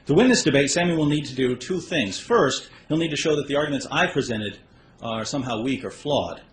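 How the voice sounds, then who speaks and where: A male debater lays out his conclusion with calm confidence. His voice is a middle pitch, carried through an echoey hall with faint hiss behind it. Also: brisk. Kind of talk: formal debate closing argument.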